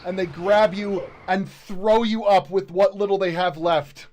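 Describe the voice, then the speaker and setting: A man in his thirties acting as game master and stream host, narrating and chatting in a halting, conversational way. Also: high voice, steady tone, darkish tone. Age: 30s. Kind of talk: improvised tabletop game narration and casual chat. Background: music.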